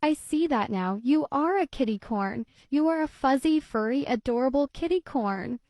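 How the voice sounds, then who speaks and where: A woman's voice: sits high, expressive, normal speed, a little mellow, dry recording, clean studio sound. A woman voicing a plucky little cat who dreams of being a unicorn. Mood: eager. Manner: plucky and insistent. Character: small female cat who wants to be a unicorn.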